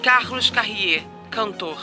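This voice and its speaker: An actor playing a stern Brazilian official announcer in a radio drama, reading out a decree and names in clipped, solemn declamation. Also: male voice, sits high, lively intonation, slow, over music. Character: stern official announcer proclaiming a dismissal list.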